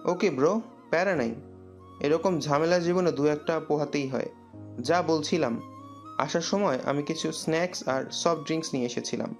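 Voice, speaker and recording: Easygoing young guy played by a man, lightish gravelly voice, slangy audio drama delivery with music. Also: fairly high pitch, normal speed, natural voice. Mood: breezy. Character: easygoing young man, pleading then breezy friend.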